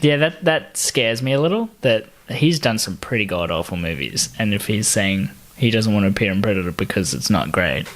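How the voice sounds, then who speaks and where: A man co-hosting a podcast, talking casually about pop culture in a jokey way and quick to laugh. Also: fairly low pitch, animated, fairly bright tone. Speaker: podcast co-host.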